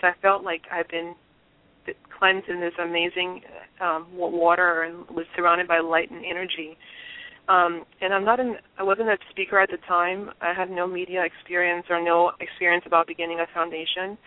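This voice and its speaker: A woman's voice: fairly low pitch, little pitch movement, normal speed. Earnest woman over a tinny phone line. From Liberia. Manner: earnest, reflective, with frequent restarts. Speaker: guest. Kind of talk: personal testimony in an interview. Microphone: telephone.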